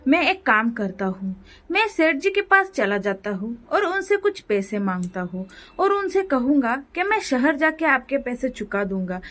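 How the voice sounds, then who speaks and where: A woman's voice: high-pitched, a bit bright. A woman voicing a poor, worried village father in a moral story, humble and pleading.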